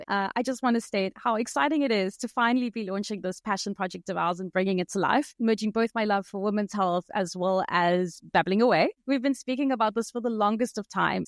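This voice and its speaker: A woman's voice: mid-range voice, no echo. South african woman early 30s, chatty podcast host, well spoken, really dry sound no room. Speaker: podcast co-host, medical doctor. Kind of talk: conversational podcast introduction.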